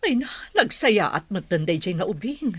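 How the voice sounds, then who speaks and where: A woman's voice: mid-range voice, very animated, moderate pace, slightly rough. An actress playing an Ilocano mother counseling her grown child in a radio drama. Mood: concerned.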